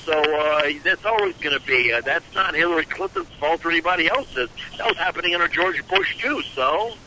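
Over noticeably noisy radio audio, a high-voiced man debates politics as a panel guest. He sounds defensive and argumentative, often repeating his points. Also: fairly quick, bright, forceful tone.

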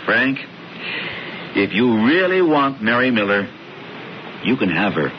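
An actor playing a calm, knowing older brother who insistently tempts and persuades, heard on a dull, lo-fi radio broadcast. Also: male voice, medium pitch, measured pace, a little hiss or hum. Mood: persuasive. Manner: smooth, insistent, theatrical. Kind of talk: 1970s radio drama acting.

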